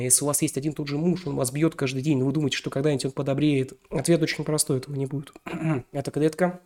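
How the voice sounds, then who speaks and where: Young male podcast host, a bit sick, fast and loose, full of fillers and restarts. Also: mid-range voice, darkish tone. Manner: rambling, many fillers and self-corrections. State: slightly ill. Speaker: podcast host reviewing a novel. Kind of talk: casual spoken book review.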